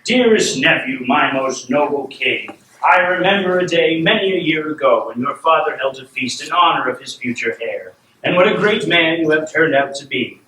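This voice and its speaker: A man voicing a pompous, scheming royal uncle making slow, grand declarations in a storybook tale. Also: a little high, lively intonation, pushed and bright. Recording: roomy, distant, faintly noisy.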